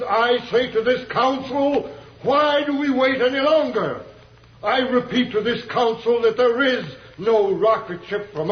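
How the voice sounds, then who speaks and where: A man acting an impatient, insistent Martian delegate making oratorical demands in an old radio serial. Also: very high-pitched. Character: strident, impatient male Martian council delegate. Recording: muffled, echoey old radio, faint hiss.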